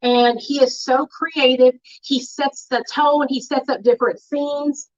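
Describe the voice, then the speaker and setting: A woman hosting a casual livestream, chatting in an excited way with plenty of filler words. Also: sits high, unhurried. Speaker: livestream host. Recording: muffled lo-fi livestream audio.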